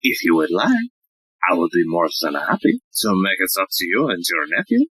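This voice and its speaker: An actor playing a vain, bumbling police inspector in a comedic audio play. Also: male voice, low-pitched, huge pitch swings, moderate pace, a bit bright, breathy edge, no echo, exaggerated voice, poor audio. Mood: flustered, smitten.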